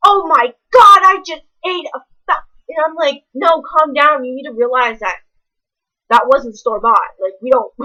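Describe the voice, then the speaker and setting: A woman's voice: a little high, bright, forceful tone, muffled recording. A young woman, ranting casually to camera in a blunt, rambling way full of swearing. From American South. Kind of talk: casual vlog rant.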